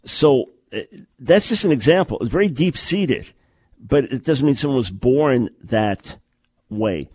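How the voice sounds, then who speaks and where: A man's voice: medium pitch, lively intonation, a little slow, darkish tone, slightly rough, no echo. A man hosting a call-in radio talk show, talking in an earnest, emphatic way and repeating words to stress a point.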